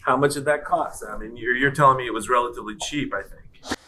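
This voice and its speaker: A professor piping up from across the classroom to prompt the students, a man with a sharp voice, far from the microphone. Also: medium pitch, quick pace. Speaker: instructor moderating a guest lecture. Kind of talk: informal classroom talk. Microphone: far from the speaker. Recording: distant mic, noisy room.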